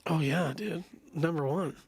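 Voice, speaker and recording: A young man with a raspy voice, chatting casually and animatedly as a singer guest. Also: fairly high pitch, measured pace, dry recording. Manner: casual, animated, says bro and man a lot. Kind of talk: casual chat.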